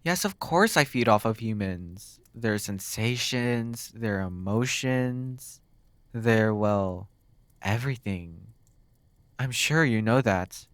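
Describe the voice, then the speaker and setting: A man playing a flirty, sardonic demon captor in an intimate ASMR roleplay, teasing and curious, drawing words out with dramatic pauses. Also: fairly low pitch, expressive, dry recording. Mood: baffled, probing. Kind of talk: ASMR roleplay, intimate one-sided dialogue.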